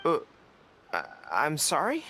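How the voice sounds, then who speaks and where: A woman's voice: low voice, wildly swooping pitch, slow, heavy background noise, heavily acted. A woman voicing an earnest, easily flustered young soldier in a video game, hesitant and proper. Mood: puzzled, flustered.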